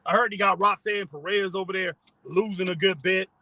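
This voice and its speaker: A man's voice: high-pitched, fairly even intonation, a little fast, sharp tone, no echo. A man hosting a casual live stream from his car, rambling and chatting with viewers as he reads their comments.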